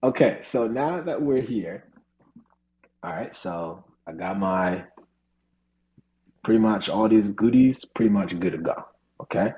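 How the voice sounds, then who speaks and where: A man's voice: lowish voice, animated, a little slow, pauses often, lo-fi sound. A man teaching a live online coding class over a video call, talking casually with lots of "right?" and "you know". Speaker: coding instructor.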